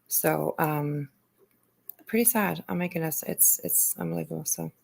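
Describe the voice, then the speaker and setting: A woman hosting a livestream in casual chat, speaking in a hesitant, halting way. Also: deep voice, vocal fry, a little mellow. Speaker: livestream host.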